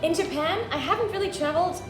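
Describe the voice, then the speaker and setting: A woman's voice: very high voice, fast talker, over music. Young woman, echoey room. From Austria. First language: German. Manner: relaxed, thoughtful, many ums. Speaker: guest. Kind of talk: casual interview answers.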